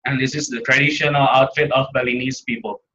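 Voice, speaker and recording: A young man from Indonesia with an Indonesian accent, giving a seminar talk in halting English with many fillers and restarts. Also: medium pitch, steady tone, sharp tone, muffled recording, a little hiss or hum. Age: young adult. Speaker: seminar presenter. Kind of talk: academic slide presentation. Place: seminar room.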